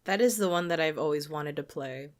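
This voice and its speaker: A woman's voice: deep voice, a little fast. A woman co-hosting a podcast, chatting casually about games in an informal, giggly way. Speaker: podcast co-host.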